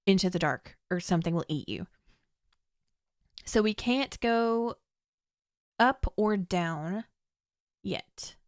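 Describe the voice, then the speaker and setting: Chatty young woman, slightly hoarse. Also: mid-range voice, no room sound, clean studio sound. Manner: chatty, thinks aloud, reads descriptions in a measured storytelling way. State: slightly hoarse, losing her voice. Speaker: streamer playing a text adventure. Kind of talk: casual game commentary, reading game text aloud.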